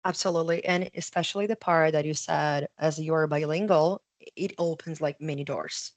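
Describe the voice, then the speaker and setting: A woman's voice: deep voice, fairly even intonation, normal speed, no room sound. A woman hosting a podcast interview, speaking as an immigrant, hesitant and searching for words but supportive. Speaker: podcast host, immigrant to Nova Scotia.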